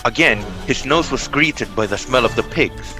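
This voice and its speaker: A man's voice: mid-range voice, expressive, moderate pace. A man narrating a storybook tale from a script. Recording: music under the voice.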